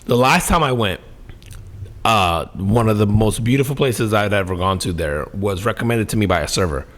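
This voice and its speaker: A man's voice: on the low side, expressive, normal speed. A man co-hosting a podcast in casual chat, enthusiastic and slangy, swearing freely. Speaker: podcast co-host.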